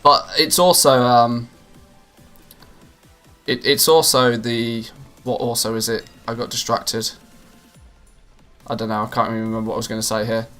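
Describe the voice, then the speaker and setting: A man's voice: medium pitch, flat delivery, moderate pace, long pauses, pushed and bright, faint music behind. A man streaming live and chatting casually with his viewers, rambling with many restarts and fillers.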